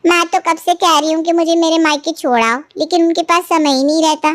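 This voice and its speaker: Woman as chatty, high-voiced married daughter. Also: fairly even intonation, brisk, a bit bright. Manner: chatty, agreeable. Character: married daughter, chatty, complicit with her mother. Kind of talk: cartoon family moral story dialogue.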